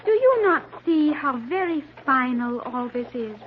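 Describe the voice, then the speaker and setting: A woman's voice: high-pitched, lively intonation, measured pace, background noise. An actress playing an earnest, solemn girl with formal, precise diction in an old-time radio serial. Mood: solemn, worried. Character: earnest, solemn girl raised apart from the world. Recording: muffled vintage radio recording.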